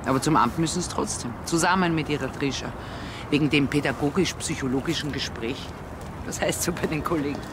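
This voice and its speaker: A woman's voice: sits low, fairly quick, natural voice. A chatty, self-important neighbor, played by an actress, in a TV crime drama. She talks outdoors, with plain traffic and street noise around her.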